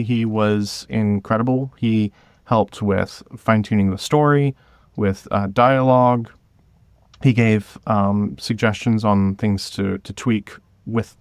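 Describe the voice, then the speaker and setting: A man speaking as an interview guest, telling stories about directing in a casual, halting way with some chuckles. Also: on the low side, clear, resonant tone, no echo. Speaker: guest, director of an audio drama podcast.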